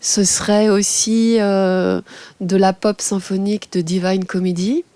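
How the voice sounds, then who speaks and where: A woman in her forties, a radio journalist guest, answering thoughtfully with many pauses and fillers. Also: mid-range voice, little pitch movement, no room sound. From France. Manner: thoughtful, hesitant with many fillers, warm laughs. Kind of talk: casual interview answers.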